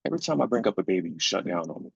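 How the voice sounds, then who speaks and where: A man's voice: low-pitched, very animated, racing, gravelly, husky, no echo, full character voice. A man playing a weary, grieving husband and father in a screenplay reading, insistent yet supportive. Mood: insistent, frustrated. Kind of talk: live staged screenplay reading. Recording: dull, lo-fi sound.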